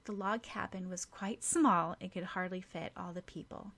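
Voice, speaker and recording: A woman's voice: lowish voice, steady tone, moderate pace, a bit bright. A woman reading scripted fiction as an audiobook narrator.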